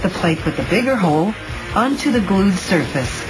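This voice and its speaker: A woman reading scripted explanatory documentary narration in a measured, instructional manner, recorded as a studio voice-over. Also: mid-range voice, sing-song, poor audio. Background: background music.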